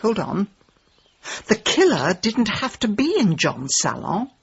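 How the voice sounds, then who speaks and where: An actress playing a nosy, brash middle-aged British woman amateur detective in a radio drama, brisk and emphatic. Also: medium pitch, sing-song, breathy edge, lo-fi sound, full character voice. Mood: speculating. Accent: British English.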